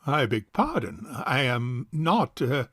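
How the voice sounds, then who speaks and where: An actor playing a middle-aged bachelor uncle in a stage farce, courtly, hesitant and long-winded. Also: male voice, medium pitch, big pitch swings, takes it slowly, a bit bright, slightly rough, no room sound, clean studio sound, full character voice. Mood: courtly, amused. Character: suave, hesitant rich older bachelor uncle. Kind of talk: stage farce audio drama acting.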